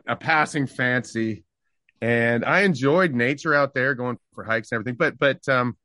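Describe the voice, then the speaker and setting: A middle-aged man from Canada, a singer-songwriter, talking reflectively about his past in a relaxed interview, with fillers and restarts. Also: mid-range voice, no echo, studio quality.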